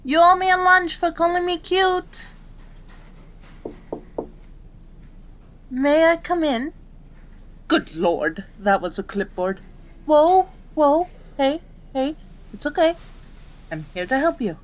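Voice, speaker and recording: A woman's voice: medium pitch, sing-song, measured pace. A woman playing a cute, caring fox-spirit doctor in an ASMR roleplay, prim, chiding and easily flustered. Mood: startled, soothing.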